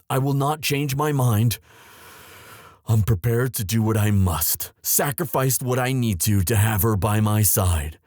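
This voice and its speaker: A man playing a devoted young crown prince in a romantic ASMR audio drama, earnest and tender, with formal, halting, courtly speech. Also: fairly low pitch, a little mellow, breathy edge, no room sound, studio quality. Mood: resolute.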